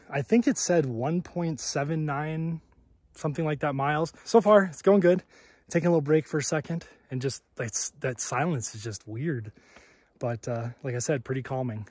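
A man's voice: fairly high pitch, dry recording. A man vlogging while hiking a mountain trail, chatty and laughing often, a bit out of breath, recorded outdoors on a handheld camera. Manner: chatty, laughs often, hushed when quiet. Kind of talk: casual hiking vlog.

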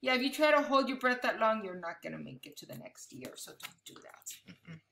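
A woman's voice: a little high, animated, a little fast, vocal fry, pushed and bright, slight background noise. A woman in her thirties reading a picture book aloud expressively to young children like a schoolteacher, with chatty asides spoken directly to the listeners. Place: living room at home, indoors. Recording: echoey home room.

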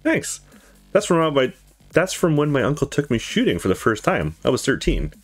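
A tough, teasing young policewoman from a visual novel, read by a man, speaks curtly and cockily. The pitch moves widely, with faint music behind. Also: big pitch swings, moderate pace. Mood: casual. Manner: curt, cocky, swearing. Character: tough, foul-mouthed, teasing young woman police intern.

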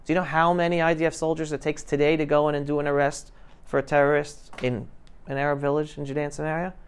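A man of about fifty giving an informal, emphatic talk to high school students, putting questions to them. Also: flat delivery, fast, smooth. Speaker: guest speaker. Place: classroom, indoors. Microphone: lapel mic.

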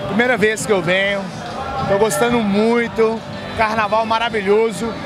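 A man in his thirties with a very high voice sounds pleased and enthusiastic. He speaks into a handheld mic at a night-time carnival, with music and a crowd behind him. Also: level tone, normal speed. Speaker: first-time carnival guest. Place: outdoor carnival box at night. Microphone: handheld mic held by interviewer.

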